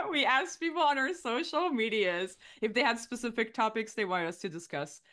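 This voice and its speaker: A woman's voice: on the high side, normal speed, a bit bright. A young woman co-hosting a podcast, bubbly and chatty, laughing often. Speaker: podcast co-host. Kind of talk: casual chat.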